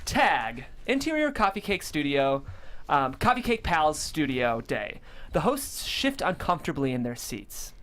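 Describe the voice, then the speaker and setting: A woman with a very lively, swinging delivery reads stage directions. She is the narrator and host of a comedy table read. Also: deep voice, unhurried.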